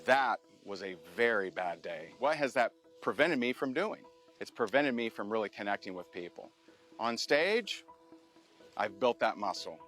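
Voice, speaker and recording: A man's voice: on the low side, lively intonation, moderate pace, a bit bright. A man hosting a competition show, telling a personal story to the camera in an earnest, motivational way. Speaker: show host and business coach. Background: music bed.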